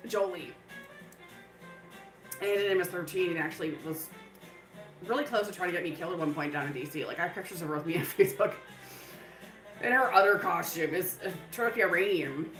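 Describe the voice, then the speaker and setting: A woman's voice: fairly low pitch, fairly quick, long pauses, a bit bright, reverberant, faint music behind, low-quality recording. A woman hosting a live stream, talking in a loose, rambling way full of fillers. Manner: breaks into laughter. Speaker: livestream host.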